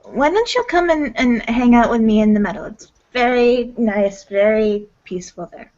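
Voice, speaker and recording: A woman playing a gentle, nurturing, somewhat hesitant werewolf mystic in a tabletop roleplaying game. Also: a little high, expressive, normal speed, lo-fi sound, natural voice. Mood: soothing. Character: gentle, nurturing female werewolf mystic who offers comfort.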